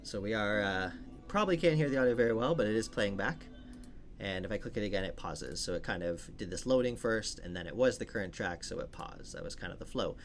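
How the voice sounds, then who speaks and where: A man's voice: lowish voice, animated, brisk, faint music behind. A man giving a casual, informal step-by-step coding walkthrough as a tutorial instructor. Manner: informal, explains step by step while working.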